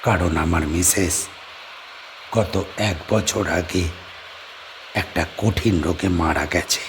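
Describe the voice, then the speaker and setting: A man's voice: low-pitched, slow, background noise. A man playing a lonely island guest-house owner. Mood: polite. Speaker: D'Souza. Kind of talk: audio drama acting.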